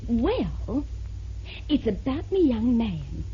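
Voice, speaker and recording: A woman's voice: on the high side, big pitch swings, takes it slowly, warm tone, exaggerated voice. An actress playing a polite, troubled young working-class woman in a vintage radio drama. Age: young adult. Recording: muffled vintage radio with faint noise.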